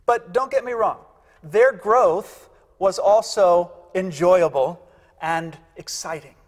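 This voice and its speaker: A man's voice: high-pitched, slow pace. A man in his fifties, a pastor giving a sermon in a church sanctuary, emphatic and repeating key phrases for weight. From United States. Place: large church sanctuary, indoors. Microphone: lapel mic. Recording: long church reverb.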